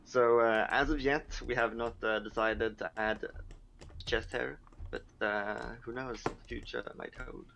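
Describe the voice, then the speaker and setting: A man's voice: medium pitch, steady tone, unhurried, vocal fry, fairly bright tone. A man chatting casually on a development team livestream in a dry, teasing way. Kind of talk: casual joking chat. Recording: faint background noise.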